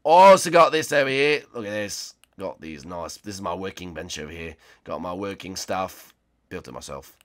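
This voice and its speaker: A man's voice: low voice, lively intonation, normal speed. A man playing an easygoing, boastful handyman mate in a comedy skit, proud and repetitive. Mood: matter-of-fact boasting.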